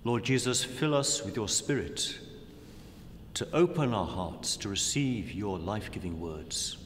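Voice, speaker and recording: Middle aged guy preaching really slow n solemn, lowish voice, big echoey room. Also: lively intonation, slight background noise. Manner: solemn, measured, with long pauses between phrases. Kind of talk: reflective sermon and prayer. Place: large dim indoor room. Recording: long echoing reverb of a hall.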